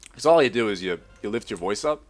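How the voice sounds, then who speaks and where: A young man with a low voice and an American accent, talking over a video game at his computer with keyboard clicks around him. He rambles, restarts his sentences and swears casually as he goes. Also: animated. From Australia. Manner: rambling, profane, halting restarts. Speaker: gaming YouTuber. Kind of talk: casual commentary over gameplay.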